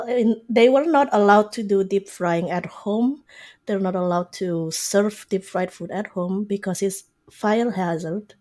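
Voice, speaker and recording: A woman in her forties from Indonesia, speaking English with an Indonesian accent. She talks slowly at a middling pitch, explaining things in a casual, conversational way as a guest. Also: mellow. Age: 40s. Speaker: interview guest, software developer.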